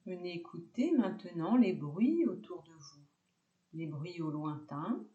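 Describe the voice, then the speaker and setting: A woman teaching yoga nidra, guiding a relaxation meditation calmly in short, separated phrases. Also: lowish voice, measured pace, reverberant, highs cut off.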